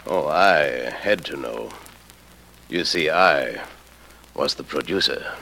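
An actor playing a smug, knowing theater producer in an old radio drama, measured and insinuating. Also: male voice, a bass voice, expressive, vocal fry, pushed and bright, full character voice. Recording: noisy old radio play recording.

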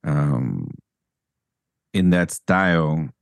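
Deep-voiced man interviewing, dry sound. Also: very animated, very slow, vocal fry, dry recording. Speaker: podcast interviewer. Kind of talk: casual conversational interview.

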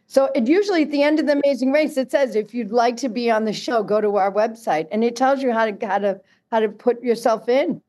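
A woman's voice: a little high, brisk, hardly any pauses, warm tone. A woman with a Boston accent, a casting director, talking casually and emphatically as a guest on a talk show.

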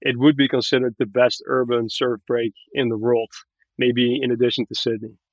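A man's voice: mid-range voice, level tone, moderate pace, dry recording. Male interview guest from Barbados, casual but articulate explainer, close dry sound, slightly muffled. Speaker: podcast guest, tech growth manager.

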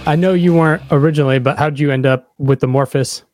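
A man's voice: fairly high pitch, steady tone, quick pace, over loud music. A man hosting a podcast, asking questions in an informal, casual chat style. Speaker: podcast host, interviewer.

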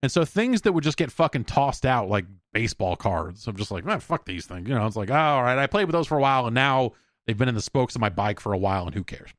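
A man's voice: medium pitch, big pitch swings, fast talker, dry recording, clean studio sound. A man hosting a podcast solo, chatting casually in a rambling, opinionated, profane way. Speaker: podcast host answering listener mail.

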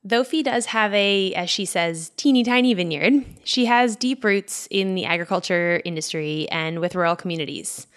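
A woman's voice: on the low side, normal speed, few pauses, fairly bright tone, clear, resonant tone. A woman hosting a podcast, interviewing and narrating in a conversational style. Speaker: podcast host.

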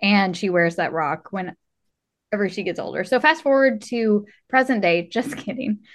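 A woman's voice: medium pitch, a little slow. A woman co-hosting a podcast, chatting casually about a film in a blunt, wry, sweary way. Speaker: podcast co-host. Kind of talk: casual chat recapping a film.

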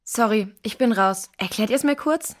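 A woman's voice: a little high, normal speed, lightly acted. A woman playing a direct, persuasive girl from an adventure crew in a children's audio drama, frank and pressing. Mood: puzzled.

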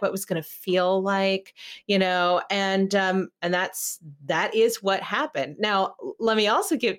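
A woman with a somewhat low voice talks as a podcast host in casual conversation. She is open and effusive, and her storytelling comes in halting, conversational bursts. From California.

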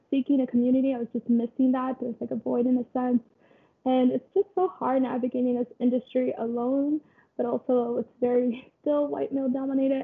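Young woman guest, high voice, kinda husky and breathy, sounds like a phone line, chatty and loose. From Kenya. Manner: relaxed storytelling with frequent fillers and laughs. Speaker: guest, photographer and community founder. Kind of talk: conversational interview.

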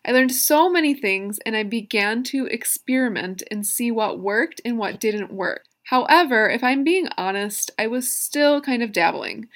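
A woman's voice: a little high, lively intonation, moderate pace, few pauses. A woman in her mid-thirties hosting a solo motivational podcast, telling her own story in an earnest, personal way with emphatic asides. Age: 30s. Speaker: podcast host.

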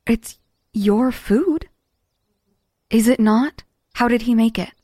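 Bold, inquisitive female biographer for sci-fi audio drama: breathy husky voice, persuasive, close dry sound. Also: fairly high pitch, takes it slowly, warm tone, dry recording. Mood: curious, insistent. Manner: coaxing, intent, short insistent phrases.

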